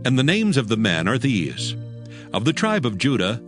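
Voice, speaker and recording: A man's voice: mid-range voice, huge pitch swings, normal speed, over music. A man narrating a dramatized Bible in a formal, solemn scripted reading.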